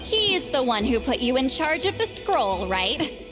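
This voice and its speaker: A woman's voice: high voice, sing-song, measured pace, music in the background. A woman voicing a prickly, aloof, centuries-old but young-sounding shaman in a video game, blunt and impatient. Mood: curt, grudging. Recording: long hall reverb.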